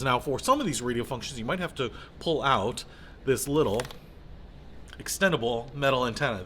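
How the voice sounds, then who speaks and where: A man's voice: fairly high pitch, bright, forceful tone, slight background noise. A man giving a casual, enthusiastic hands-on product review in everyday colloquial language.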